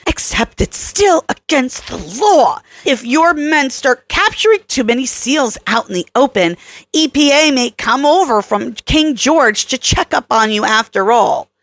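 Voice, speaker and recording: A woman's voice: sits high, expressive, measured pace, fairly bright tone, no room sound, pitched above the performer's own voice. A woman voicing an urgent, defiant young woman animal expert who pleads and scolds emphatically in a dramatic story reading. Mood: insistent, exasperated.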